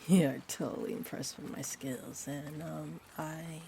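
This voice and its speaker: A woman playing a sweet, shy female vampire in an intimate roleplay audio, rambling gently and hesitantly. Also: deep voice, a little slow, gravelly. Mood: teasing, gentle. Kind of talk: roleplay audio, intimate one-sided chat. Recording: steady rain-like ambient noise. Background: rain ambience.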